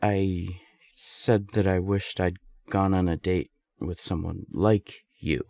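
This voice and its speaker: Man playing a young serial-dater friend for audio roleplay: low gravelly voice, candid, emphatic, secretly smitten. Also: steady tone, takes it slowly, mellow, dry recording, studio quality, full character voice. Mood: flustered denial. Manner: conversational, hesitant, emphatic swearing. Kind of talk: intimate audio roleplay acting.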